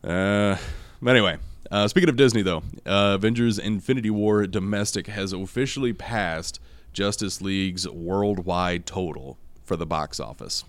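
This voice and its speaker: A man hosting a casual news chat podcast, hoarse from losing his voice, rambling with fillers and frequent laughs. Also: low voice, normal speed, hardly any pauses, no echo. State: hoarse, lost voice. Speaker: podcast host.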